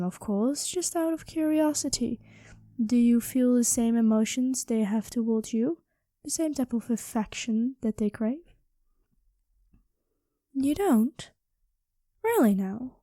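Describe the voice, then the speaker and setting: A woman's voice: fairly high pitch, dark tone, dry recording, studio quality. A woman improvising an ASMR roleplay as a playful, possessive dragon queen, coy and intimate, often dropping into whispers. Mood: curious, jealous.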